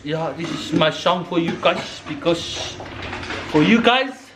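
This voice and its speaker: A man's voice: fairly high pitch, expressive, slow pace. A man with a Samoan accent hosting a casual vlog, playful and talking in loose, broken English. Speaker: vlog host. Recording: echoey room with clear background noise.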